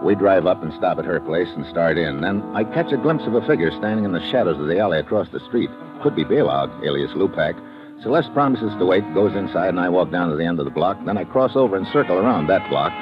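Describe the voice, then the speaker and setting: Deep-voiced hardboiled American investigator. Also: male voice, animated, fast. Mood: tense, hardboiled. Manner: wry, clipped, hardboiled. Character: tough, wisecracking male hardboiled investigator. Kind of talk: 1950s radio adventure acting and first-person narration. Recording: muffled vintage radio recording with music.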